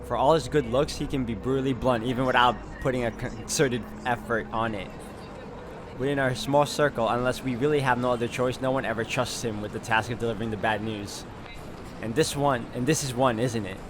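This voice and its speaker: A man's voice: medium pitch, steady tone, a little fast, background noise. A man hosting a game walkthrough, reading visual novel narration aloud and adding his own asides. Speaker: video game walkthrough host.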